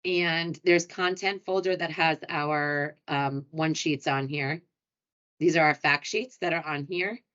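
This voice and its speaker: A woman with a New York accent talks through a webinar in a chatty, upbeat way and pokes fun at herself. Her voice sits a little low. The sound is muffled and lo-fi, as if it comes through a computer mic on a video call. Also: fairly even intonation, takes it slowly, fairly bright tone. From New York City. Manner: chatty, upbeat, self-deprecating. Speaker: hotel sales director presenting a webinar. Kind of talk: casual webinar presentation.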